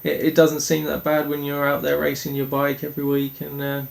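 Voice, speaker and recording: Flat, gravelly-voiced young man. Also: fairly high pitch. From Denmark. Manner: earnest, halting, many restarts. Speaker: speedway rider. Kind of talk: interview answers.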